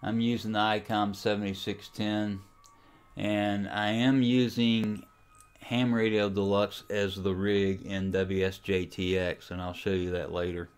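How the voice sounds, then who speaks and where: A man with a fairly low, clean and resonant voice talks through a software tutorial. He explains each step in a casual, informal way, as the host of a hobby how-to. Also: little pitch movement, unhurried, smooth. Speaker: amateur radio tutorial host.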